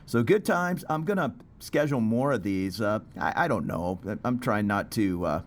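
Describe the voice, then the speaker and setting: A man's voice: lowish voice, lively intonation, normal speed. A man talking casually as he vlogs, rambling and chatty with plenty of fillers, out on a city street. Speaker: expat vlogger. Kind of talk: casual walking vlog. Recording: street traffic noise behind.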